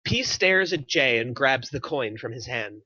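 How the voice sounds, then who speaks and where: A man's voice: mid-range voice, expressive, a little slow, sharp tone, no room sound. A man reading stage directions as scripted narration at a table read, on a muffled microphone.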